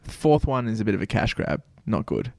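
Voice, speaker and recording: A man's voice: fairly low pitch, creaky, no echo, studio quality. A man co-hosting a podcast, chatty and sweary. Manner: animated, sweary, quick to chime in. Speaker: podcast co-host. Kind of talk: casual chat.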